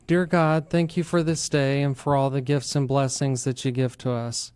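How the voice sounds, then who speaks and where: A man's voice: mid-range voice, flat delivery, normal speed, a little mellow, smooth. An American man hosting a talk radio show, explaining things to listeners. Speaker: radio show host, attorney.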